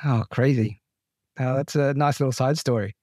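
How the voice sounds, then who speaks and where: A man's voice: medium pitch, fairly even intonation, moderate pace, a little mellow, dry recording. Dry-sounding male podcast host. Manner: friendly, says man and mate. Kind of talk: casual interview.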